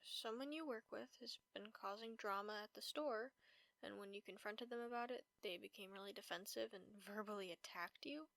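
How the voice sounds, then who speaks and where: A woman playing a caring vampire roommate in a comfort audio roleplay, speaking softly, gently and reassuringly. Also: medium pitch, moderate pace, gravelly, no room sound. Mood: sympathetic. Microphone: close, dry microphone.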